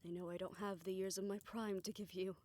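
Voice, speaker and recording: A mature woman in love played by a woman speaks intimately to her partner in an audio romance. Her mid-pitched voice is tender and self-assured. She has a reflective, slightly formal manner with wry self-deprecation. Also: little pitch movement, brisk, dark tone, close to an everyday voice. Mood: tender, wistful. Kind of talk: romantic audio roleplay, intimate scripted monologue.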